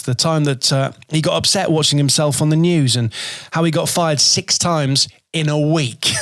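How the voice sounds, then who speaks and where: A man's voice: medium pitch, fairly bright tone, no echo, studio quality. A man hosting a podcast, reading an upbeat intro and then chatting, laughing often. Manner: upbeat, laughs often, stumbles over questions. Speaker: podcast host. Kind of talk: scripted intro then casual interview.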